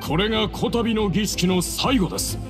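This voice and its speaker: High-voiced, solemn temple officiant. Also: male voice, expressive. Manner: formal, measured, proclaiming. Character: solemn ceremonial officiant of a desert temple duel. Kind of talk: video game voice acting. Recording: long hall reverb, music behind.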